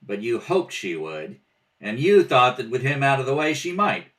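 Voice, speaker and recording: A man's voice: medium pitch, sing-song, moderate pace, fairly bright tone. A man playing a blunt, brusque police lieutenant interrogating suspects in an old-time radio detective play. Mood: probing. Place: home kitchen table. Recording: distant home rehearsal recording.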